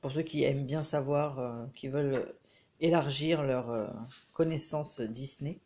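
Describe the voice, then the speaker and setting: Guy with a cold doing a chill book review, stops and hesitates a lot, mid pitch. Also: steady tone, mellow. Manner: hesitant, frequent filler pauses. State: has a head cold. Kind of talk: casual book flip-through review.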